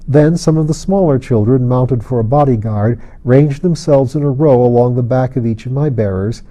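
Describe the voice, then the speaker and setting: Very mellow-voiced male audiobook narrator. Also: medium pitch, moderate pace. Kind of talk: scripted narration of a fantasy novel.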